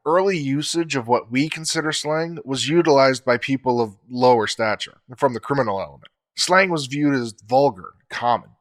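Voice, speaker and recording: A man co-hosting a podcast, explaining facts in a measured, scripted way. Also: mid-range voice, fairly bright tone.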